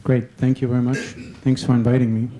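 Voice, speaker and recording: A man's voice: fast, muffled recording, a crowd in the background. A man introducing himself as a panelist at a conference. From Germany. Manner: steady, businesslike pitch. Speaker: panelist, startup executive.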